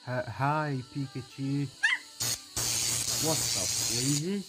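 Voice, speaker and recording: A man's voice: mid-range voice, slow pace, over music, lightly acted, poor audio. A man playing an eager, chatty male train traveller in a story, earnest and exclamatory.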